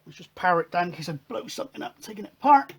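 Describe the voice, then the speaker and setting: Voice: mid-range voice, very animated, rapid-fire, husky. Chatty hobbyist thinking aloud. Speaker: hobbyist radio show host. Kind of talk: informal hands-on technical demonstration.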